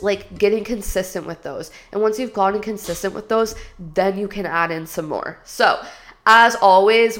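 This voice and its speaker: A woman talks through her solo podcast in a casual, friendly way, with many "like" fillers. Her nose is a little stuffy. Her pitch sits in the middle for a woman. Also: a bit bright. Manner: chatty and upbeat, with many "like" fillers. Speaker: podcast host.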